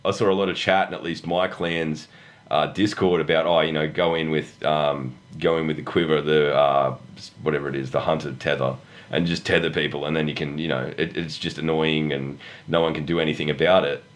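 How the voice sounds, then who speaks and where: A low-voiced Australian man, husky and hoarse from the flu. He chats casually as a podcast host about gaming, joking at his own expense and swearing freely. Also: fairly even intonation, fairly quick. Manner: jokey, self-deprecating.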